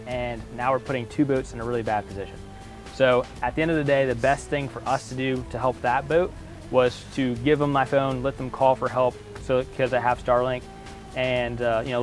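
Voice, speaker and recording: A man talking casually to viewers as a vlog host, explaining and giving advice in an earnest, emphatic way. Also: medium pitch. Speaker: offshore fishing vlog host.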